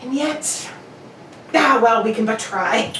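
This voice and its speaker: A high-pitched, brilliant detective played by a woman speaks in an exacting, theatrical radio-play manner. The voice comes from off the mic in an echoey room, with every phrase laid out precisely. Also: expressive, takes it slowly, pushed and bright, heavily acted. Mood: brisk, confident. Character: brilliant, exacting male detective, brisk and self-critical. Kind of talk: old-time radio drama acting. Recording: echoey room, distant mic, faint noise.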